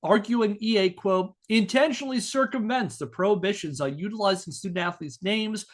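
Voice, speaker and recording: A man's voice: high-pitched, normal speed. An American man hosting a podcast, reading scripted narration with casual asides. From northeastern United States. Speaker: podcast host.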